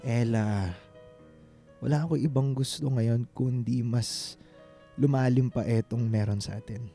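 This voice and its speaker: A man with a Filipino accent playing an earnest, devoted suitor in a radio drama, speaking tenderly and haltingly. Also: mid-range voice, dark tone, faint music behind, natural voice. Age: adult.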